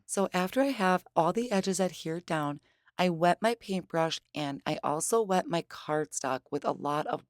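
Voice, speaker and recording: A woman with a hoarse, strained voice from losing it, patiently explaining each step of a craft tutorial in a voice-over. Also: low voice, dry recording, clean studio sound. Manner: explains steps patiently and plainly. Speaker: craft demonstrator.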